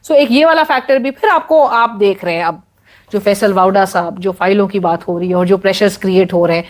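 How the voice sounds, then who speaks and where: A woman, a guest analyst on a political talk show, explaining in a measured way with many hesitant fillers. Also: medium pitch.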